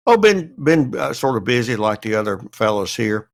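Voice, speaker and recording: A man's voice: medium pitch, sing-song, moderate pace, no echo, studio quality. An American man giving a detailed, methodical update as a panellist on a casual podcast. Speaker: podcast panellist and amateur radio writer.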